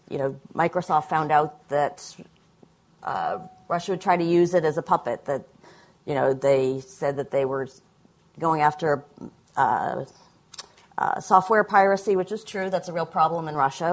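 A woman with a notably low voice addresses a conference audience from a panel. She speaks in a measured way, pausing often on ums and you-knows while she builds her point. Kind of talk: panel discussion answer.

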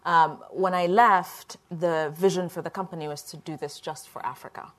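A middle-aged woman from Ethiopia, a company founder answering questions on a panel in a relaxed, reflective way. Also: fairly low pitch, moderate pace.

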